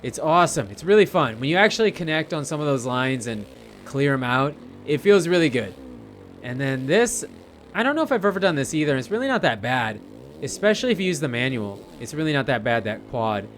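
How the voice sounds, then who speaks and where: A man's voice: mid-range voice, very animated, brisk, slight background noise. A man giving casual, rambling gameplay commentary, chatting informally and speaking directly to his viewers. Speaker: gamer narrating gameplay.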